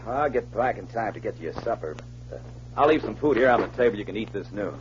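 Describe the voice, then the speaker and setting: Man playing grumpy prospector sidekick, gruff complainer, pitch jumps around a lot, old radio acting, muffled. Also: lively intonation, fast talker, background noise, close to an everyday voice. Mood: evasive. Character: sullen, grumbling male prospector, reluctant sidekick to schemer.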